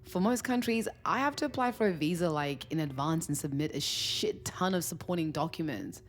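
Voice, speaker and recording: A woman's voice: sits low, lively intonation, brisk, a little hiss or hum. A woman playing a curious, incredulous, wry young woman on a date in a naturalistic audio drama, reacting with surprise and dry asides. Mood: explaining. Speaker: lead actor in a fiction podcast.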